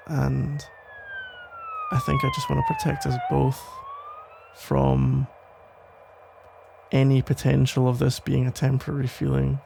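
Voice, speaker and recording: Male actor for protective police officer ex, ASMR roleplay: very creaky, gravelly voice, tender, halting, soothing delivery over faint background noise. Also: mid-range voice, dark tone. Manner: hesitant, reassuring, halting phrases with pauses. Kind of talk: intimate ASMR roleplay, soft conversational acting.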